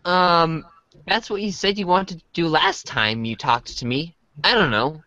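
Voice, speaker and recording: Woman as awkward teen boy, easygoing, bright voice, unhurried, hesitant, close dry sound. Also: fairly low pitch, dry recording. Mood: casual. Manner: hesitant, casual, bemused. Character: laid-back, slightly awkward teenage boy, good-natured and bemused. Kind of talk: amateur reader's theater.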